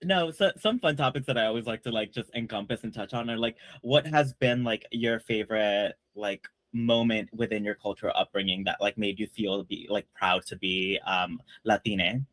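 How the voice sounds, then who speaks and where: A man's voice: on the low side, moderate pace, hardly any pauses, fairly bright tone, dry recording. Casual male panel host, dry sound. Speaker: panel host, drag queen.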